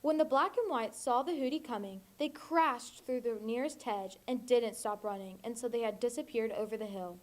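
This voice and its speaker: High-voiced teenage girl reading a storybook. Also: moderate pace, hardly any pauses. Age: teens. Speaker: volunteer storybook reader. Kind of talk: children's storybook read-aloud.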